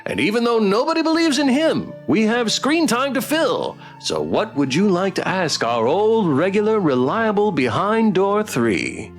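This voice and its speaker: A man voicing a teasing, theatrical dating-show host in a visual novel, with showy drawn-out emphasis. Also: sits high, big pitch swings, soft background music, voice pushed up. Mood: playful teasing. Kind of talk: visual novel character read-aloud.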